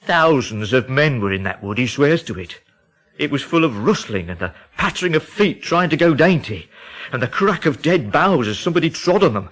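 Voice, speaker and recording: A man's voice: fairly high pitch, a bit bright, low-quality recording. An actor playing an alarmed, agitated man from the English Midlands in a radio drama, telling things with dramatic urgency.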